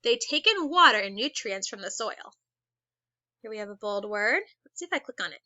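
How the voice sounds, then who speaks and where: Woman teacher, bright and a bit high, reads a lesson aloud slowly and asks kids questions; dry, slightly dull recording. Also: lively intonation, no room sound. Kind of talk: read-aloud lesson for children.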